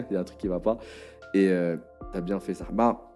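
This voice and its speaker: A tired man explaining himself hesitantly, with many pauses and restarts. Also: low voice, fairly even intonation, mellow, faint music behind. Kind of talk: casual explanation.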